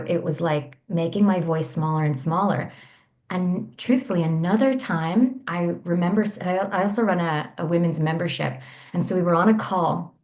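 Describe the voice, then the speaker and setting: A woman guest on a podcast, a coach for women, talking candidly about her past in a soft, reflective way with many small restarts. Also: fairly low pitch. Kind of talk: candid personal storytelling.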